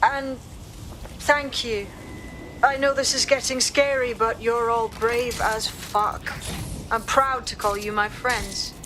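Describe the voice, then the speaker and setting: A determined female resistance leader, fairly high in voice. She is blunt and decisive, a video game character over clear background noise. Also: steady tone, slow pace. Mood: encouraging.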